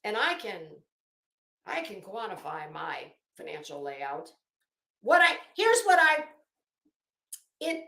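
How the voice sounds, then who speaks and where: A woman's voice: fairly high pitch, a bit bright, roomy sound. A woman delivering an unscripted, opinionated commentary rant as an online host, sarcastic and taunting, with theatrical emphasis.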